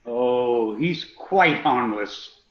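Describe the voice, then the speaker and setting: A man's voice: medium pitch, lively intonation. An actor playing a prim, formal, earnest clergyman in a radio comedy. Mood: polite, sympathetic. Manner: stiff, formal, measured phrasing. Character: prim, proper, disapproving clergyman, polite and earnest. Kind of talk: radio comedy theatre acting. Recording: echoey room, slightly dull sound.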